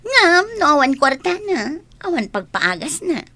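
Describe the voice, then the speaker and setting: A woman's voice: sits high, big pitch swings, a little slow, heavily acted. An actress playing a sassy, teasing young female student with an Ilocano accent in a comedy radio drama. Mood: brash, scoffing.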